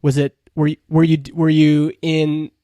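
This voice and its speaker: A man's voice: a little high, monotone, a little slow, warm tone, no echo. A man hosting a podcast, asking questions in a casual, conversational way. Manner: informal, conversational. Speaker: podcast host, interviewer.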